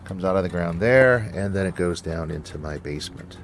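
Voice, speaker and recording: A man giving a casual, unscripted explanation as a hobbyist host, halting and hesitant, with frequent pauses and a gravelly voice. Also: sits low, animated, slight background noise.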